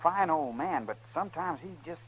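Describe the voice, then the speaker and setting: A man's voice: on the high side, animated, moderate pace, breathy edge, low-quality recording. An actor playing an anxious, polite eighteen-year-old son of a cattleman in a radio western, hesitant and respectful. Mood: worried. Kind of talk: 1950s radio western acting. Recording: old radio drama recording.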